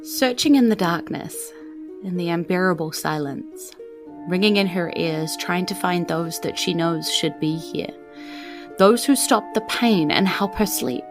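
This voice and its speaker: A woman's voice: lowish voice, a little slow, music in the background. A woman reading dramatic scripted story narration in a tense, suspenseful manner.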